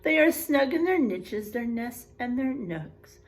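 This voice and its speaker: Female primary teacher, high lively voice with wide swings, unhurried, encouraging read-aloud to little kids. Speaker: first grade teacher. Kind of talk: picture book read-aloud and chat to young pupils.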